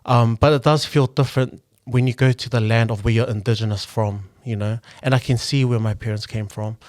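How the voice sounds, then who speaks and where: A man's voice: medium pitch, steady tone, fairly quick, darkish tone, no room sound. Earnest young man, dry close sound. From New Zealand. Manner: earnest, reflective, frequent "um" and restarts. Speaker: guest, local politician and engineer. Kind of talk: casual podcast conversation.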